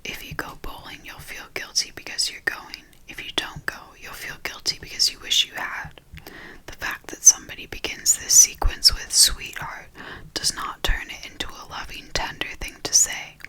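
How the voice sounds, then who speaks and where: A reader softly whispering a nonfiction book aloud in an ASMR style, with the voice fully unvoiced throughout. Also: moderate pace, poor audio.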